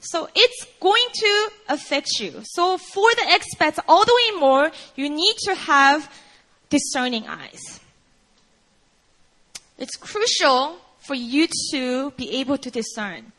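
A woman's voice: sits high, big pitch swings, slow, sharp tone, poor audio. A Korean woman whose first language is Korean, preaching a sermon in English with a Korean accent, in a conversational way full of "you know" fillers. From South Korea.

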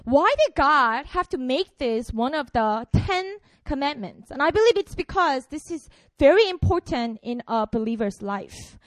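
Chatty young woman pastor, high voice. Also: big pitch swings, measured pace, no echo. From Korea. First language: Korean. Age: 20s. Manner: chatty and playful, frequent fillers. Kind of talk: casual sermon to students.

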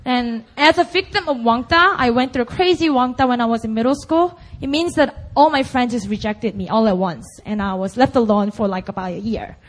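A woman's voice: a little high, a little fast, few pauses. A young woman from Busan, a native Korean speaker with a Korean accent, giving a chatty, emphatic sermon full of "like" and "right?". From Busan, South Korea. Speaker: preacher. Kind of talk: conversational sermon.

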